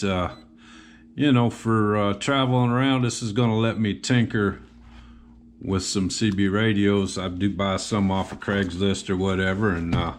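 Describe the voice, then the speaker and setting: Male hobbyist host, lowish voice, informal and hesitant hands-on demo delivery, some background noise. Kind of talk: casual show-and-tell of electronics gear.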